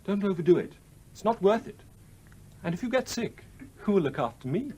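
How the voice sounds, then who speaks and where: Pompous, high-pitched young son. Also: male voice, big pitch swings, brisk, mellow, breathy edge, full character voice. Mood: wounded, sanctimonious. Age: young adult. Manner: pompous, wheedling, patronising. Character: smug young adult son, pompous would-be clergyman, self-centred. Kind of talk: British radio play acting.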